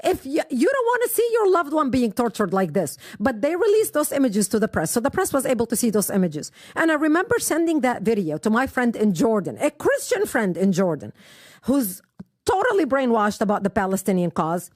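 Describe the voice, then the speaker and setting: A woman's voice: fairly high pitch, very animated, brisk, a little mellow, dry recording. A middle-aged woman from Lebanon, a guest telling personal stories with impassioned emphasis, recorded close on a studio mic. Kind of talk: personal testimony in an interview.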